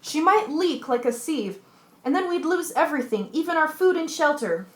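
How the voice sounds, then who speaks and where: A woman's voice: high-pitched, animated, normal speed. A woman playing a sensible, stubborn castaway in an old-fashioned radio adventure play. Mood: insistent. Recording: roomy, echoing recording.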